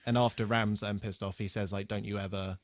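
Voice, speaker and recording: A man co-hosting a podcast in casual chat, telling personal anecdotes in a sweary, halting, self-deprecating way. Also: lowish voice, level tone. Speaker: podcast co-host.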